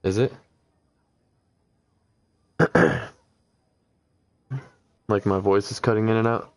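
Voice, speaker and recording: A man talking quietly on a live game stream through a headset, musing aloud and reading in-game text, sometimes stumbling over odd names. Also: on the low side, animated, extremely slow, gravelly, no room sound. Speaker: livestreamer playing a video game. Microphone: headset with noise gate.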